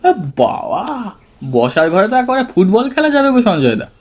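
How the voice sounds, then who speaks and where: A man playing a wide-eyed, excitable young sidekick in an audio story, with drawn-out, amazed exclaiming. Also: high voice, exaggerated voice. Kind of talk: Bengali audio story acting.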